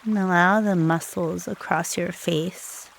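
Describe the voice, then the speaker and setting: A woman leading a guided meditation in a soothing, unhurried way, leaving long pauses between short phrases. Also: lowish voice, steady tone, vocal fry, a little hiss or hum, low-quality recording.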